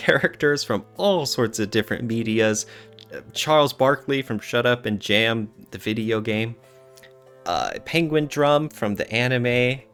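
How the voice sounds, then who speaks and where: A man's voice: medium pitch, fairly bright tone. A man co-hosting a podcast, talking casually in an earnest, reflective way with some hedging. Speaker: podcast co-host.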